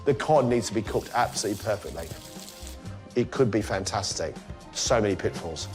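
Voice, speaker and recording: A man's voice: lowish voice, expressive, brisk, music in the background. A man working as a cooking competition judge, explaining the dishes with enthusiasm in a studio kitchen. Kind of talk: judging commentary.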